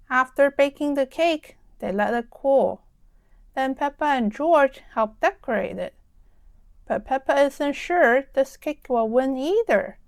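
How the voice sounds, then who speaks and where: A woman storyteller reading a children's storybook aloud in a deliberate, expressive way for young listeners. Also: high voice, dry recording, clean studio sound.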